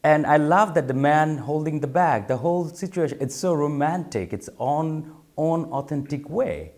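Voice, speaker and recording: A man's voice: fairly high pitch, expressive, normal speed, darkish tone. A man from Bangladesh, a photographer talking thoughtfully in an interview, often stopping to repeat words as he searches for them. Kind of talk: reflective interview talk.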